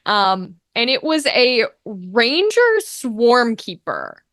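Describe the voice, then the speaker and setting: A woman speaking as an interview guest in casual, animated chat, telling stories and acting out bits of dialogue. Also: on the high side, takes it slowly, dry recording, clean studio sound. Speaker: guest, actor and streamer.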